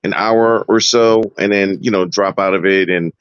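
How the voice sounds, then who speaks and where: A man's voice: sits low, steady tone, normal speed, smooth, no echo, dull audio. A man co-hosting a casual online gaming chat, joking and laughing a lot. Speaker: co-host.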